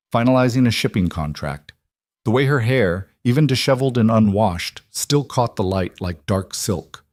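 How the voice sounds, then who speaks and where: A man's voice: on the low side, a little mellow, dry recording, studio quality. A man reading scripted, dramatic story narration in the manner of an audiobook.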